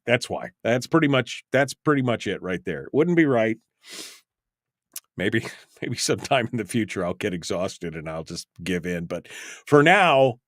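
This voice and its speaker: Animated male talk radio host, dry studio sound. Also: sits low, no echo. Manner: emphatic, agitated, self-mocking rambling. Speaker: radio call-in show host. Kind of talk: freeform talk radio commentary. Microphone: close studio microphone.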